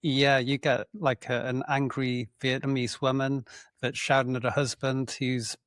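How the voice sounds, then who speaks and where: A man's voice: mid-range voice, little pitch movement, unhurried, a bit bright, dry recording, studio quality. A man co-hosting a podcast, chatting casually in a hesitant, hedging way and laughing often. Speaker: podcast co-host. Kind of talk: casual banter.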